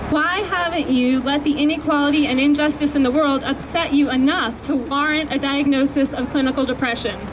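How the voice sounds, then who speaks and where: A woman with a soft voice giving an earnest speech at an outdoor rally, holding the microphone close, with fillers and restarts. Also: sits high. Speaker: activist speaker at a rally. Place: outdoors on a city street. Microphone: handheld microphone held close. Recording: noisy outdoor street recording. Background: traffic and street noise.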